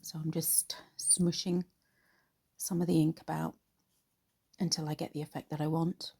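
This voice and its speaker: A woman hosting an art tutorial, narrating in an unhurried, informal way, her voice slightly croaky after a cold. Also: sits low, level tone, mellow. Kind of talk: relaxed painting tutorial narration.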